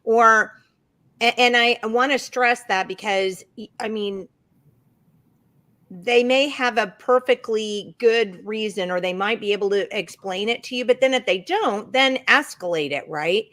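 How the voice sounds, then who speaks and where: A woman's voice: on the high side, a little slow, fairly bright tone. A woman, a guest on a talk show, making her points in an emphatic, conversational way. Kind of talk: election talk show discussion.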